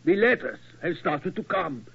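An older German castle keeper, anxious and deferential, with halting German-accented English. The voice is fairly high and husky. It comes through a narrow, phone-like old radio drama recording. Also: male voice, expressive, full character voice. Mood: worried, pleading. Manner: halting, inverted foreign syntax. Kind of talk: 1940s radio acting.

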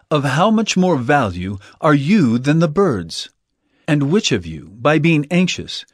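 An actor playing an earnest male teacher of parables in a dramatized audio Bible, speaking in an emphatic, measured, declamatory way. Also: fairly high pitch, no room sound, clean studio sound.

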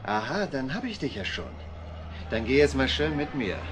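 An actor playing a pushy, menacing stranger who wheedles and threatens, in a children's radio play. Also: male voice, medium pitch, big pitch swings, moderate pace, close to an everyday voice. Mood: wheedling, pushy. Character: menacing, bullying male stranger luring a child. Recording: noisy old record with background ambience.

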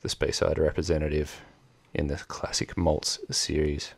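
A middle-aged Australian man co-hosting a casual whisky podcast, laid-back and swearing freely, reading facts in a low mumble. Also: level tone, normal speed, gravelly, slightly rough. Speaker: podcast co-host. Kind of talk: casual chat over whisky tasting. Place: small home studio with barrel backdrop. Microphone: boom studio mic with headphones.

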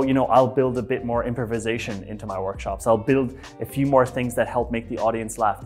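A man in his thirties with an Irish accent mixed with American, giving a casual, self-deprecating lesson on facilitation in a bright office. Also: medium pitch. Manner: lively, chatty, self-deprecating, with quick restarts. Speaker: facilitation trainer. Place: bright office room by a whiteboard.

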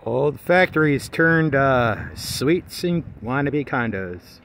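A man's voice: mid-range voice, expressive, slow, a bit bright, slight background noise. Chatty man narrating an outdoor rail walk. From not local to the area. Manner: rambling, chatty, hesitant with fillers. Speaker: railfan vlogger. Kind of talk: casual walking commentary. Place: outdoors along abandoned rail track.

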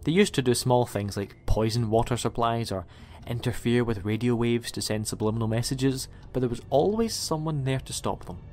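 A man's voice: mid-range voice, a little mellow, lightly acted. A man playing an eccentric, chatty time-travelling alien in an audio drama, with a rambling, quirky way of talking. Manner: rambling, self-correcting, quirky. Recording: music under the voice.

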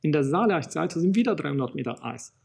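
A middle-aged man from Italy with an Italian accent, giving a public lecture as an archaeologist, pausing often with fillers and restarting sentences. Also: on the high side, animated, creaky, a little mellow, slightly rough. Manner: halting, many fillers and restarts. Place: small studio in front of a banner.

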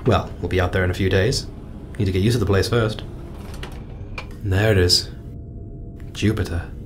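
A man playing a thoughtful, apologetic space mission leader in an audio drama, speaking in a gentle, musing, hesitant way. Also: steady tone, moderate pace, natural voice. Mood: relaxed, curious. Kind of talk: naturalistic audio drama acting. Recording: clear low rumbling noise.

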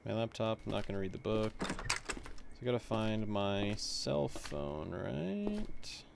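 A man's voice: fairly low pitch, expressive, takes it slowly, creaky, background noise. A man giving casual commentary on a game he is playing, rambling as he thinks aloud. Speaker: gaming video host. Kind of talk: casual playthrough commentary mixed with reading game text aloud.

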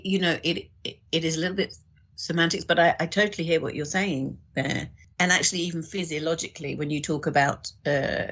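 Low, husky-voiced woman in conversation. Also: a bit bright. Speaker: podcast guest. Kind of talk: thoughtful conversational discussion.

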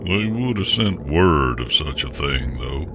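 Man voicing a very deep, gravelly pony railway worker. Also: lively intonation, measured pace, exaggerated voice. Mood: puzzled, musing. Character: puzzled young male pony switch keeper talking to himself. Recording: noisy recording.

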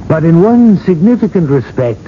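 An actor playing an older male cardinal in a radio drama, speaking in a measured, rhetorical and gently needling way. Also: sing-song. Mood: grave, admonishing. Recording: muffled vintage radio broadcast, noisy rumble.

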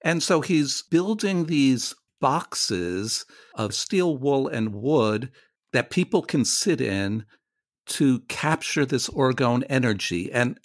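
A man hosts a conversational arts interview on the radio. His voice sits at a middle pitch and is recorded dry. He talks reflectively, as one thinking along with the guest. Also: expressive, slow pace, no room sound.

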